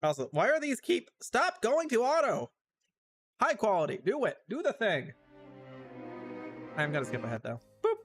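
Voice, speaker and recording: A woman's voice: mid-range voice, big pitch swings, moderate pace, pauses often, soft background music. A woman giving casual, playful and giggly livestream commentary as she reacts to video game recaps.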